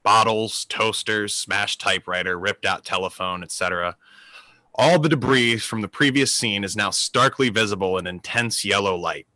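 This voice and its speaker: Guy reading play stage directions, lowish but really bright voice, dry sound. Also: moderate pace, no echo. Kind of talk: scripted narration.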